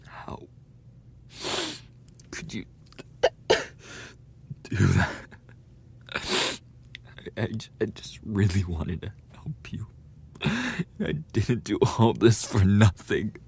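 A young man playing a lovesick, defensive school bully in a boyfriend ASMR roleplay, speaking haltingly in a husky, breathy voice. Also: fairly low pitch, darkish tone, a little hiss or hum. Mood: hurt, indignant. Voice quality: husky, breathy, often dropping to a whisper. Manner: halting, swearing, coughing between phrases.

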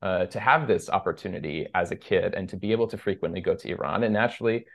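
Low-voiced man rambling in a casual chat. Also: quick pace, creaky, muffled recording. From United States. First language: Persian. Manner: rambling, with many fillers and restarts. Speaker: podcast guest. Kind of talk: casual interview conversation.

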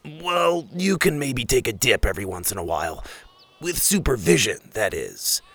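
A fussy, possessive pig who mutters in a low grumble, as a man puts him on. Dry, close sound. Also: sing-song, moderate pace, a bit bright, dry recording. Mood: grudging. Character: fussy male pig, proud of his mud.

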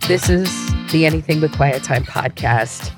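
A woman co-hosting a casual podcast, hoarse from a cold and talking in a wry, teasing way. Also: sits low, moderate pace, over music. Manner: wry and teasing, laughs often. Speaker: podcast co-host.

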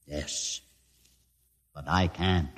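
A man acting in a classic radio drama as a solemn outcast mutant leader, speaking gravely with measured, dramatic pauses. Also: rumbling low, fairly even intonation, full character voice. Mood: bitter, earnest. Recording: old radio recording, long hall reverb.

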